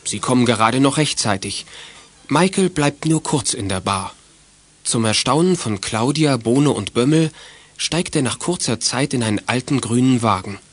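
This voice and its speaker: Ein Mann erzählt als Sprecher ein Kinderhörspiel vom Manuskript, mit belegter Stimme. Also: male voice, mid-range voice, expressive, moderate pace, lightly acted. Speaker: narrator. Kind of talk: scripted children's radio play narration.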